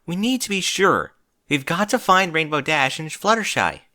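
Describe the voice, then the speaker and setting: A man's voice: on the high side, very animated, normal speed, pushed and bright, pitched above the performer's own voice. A man voicing an earnest, anxious female unicorn who takes charge, speaking urgently in a cartoon-style story reading. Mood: commanding. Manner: urgent, insistent.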